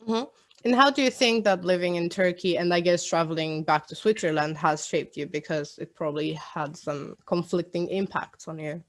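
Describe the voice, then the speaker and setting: Woman interviewer with a lowish voice. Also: normal speed. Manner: friendly, prompting with short backchannels. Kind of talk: casual interview questions.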